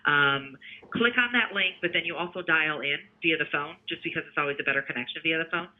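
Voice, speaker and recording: A man giving a conversational sales pitch over the telephone as a sales representative, explaining things step by step. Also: quick pace. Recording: tinny narrowband phone line.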